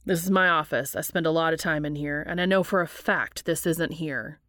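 A woman voicing a stubborn, devoted young man in a story, earnest and insistent, with a creaky voice. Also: low-pitched, moderate pace, a bit bright, dry recording, studio quality. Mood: determined.

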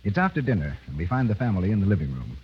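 A man's voice: lowish voice, very animated, rapid-fire, slightly rough. A man announcing in a scripted 1930s radio style. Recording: dull vintage 1930s radio recording.

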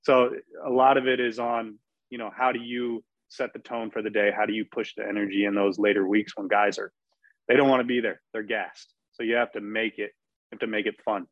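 A man's voice: fairly low pitch, level tone, a little fast, no echo. A man speaking as a performance coach on a discussion panel, talking emphatically. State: losing his voice. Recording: dull, dry lo-fi audio.

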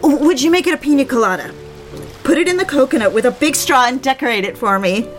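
A woman's voice: sits high, animated, fast talker. An actress playing a giddy, gushing young bride in an audio drama, childlike and insistent in what she demands. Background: prominent music.

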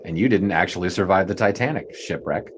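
An actor playing a wry, wordy 1920s sports writer in an audio drama, dryly sardonic in both narration and dialogue. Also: male voice, low voice, fairly quick, slight background noise, natural voice. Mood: dry, skeptical. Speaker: narrator and lead character.

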